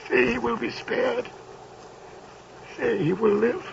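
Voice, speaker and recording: A man doing a shrill, cracking old miser. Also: slow, creaky, exaggerated voice. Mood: desperate pleading. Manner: pleading, cracked and strained. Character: desperate old miser begging a ghost. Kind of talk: 1930s radio drama acting. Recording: dull old radio broadcast with loud hiss.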